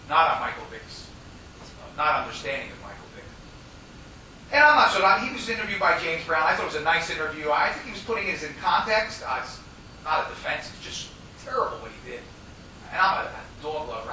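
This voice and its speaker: American man, law lecturer, high-pitched and conversational, teaching in a very reverberant room. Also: bright, forceful tone. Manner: emphatic, conversational, acts out quoted dialogue. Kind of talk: informal classroom lecture. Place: classroom, recorded from a distance. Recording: echoey room, distant mic, faint noise.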